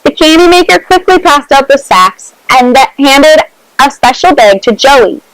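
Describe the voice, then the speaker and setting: A woman reading a storybook aloud to children in an expressive, measured way, adding her own small asides. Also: high-pitched, dry recording, low-quality recording.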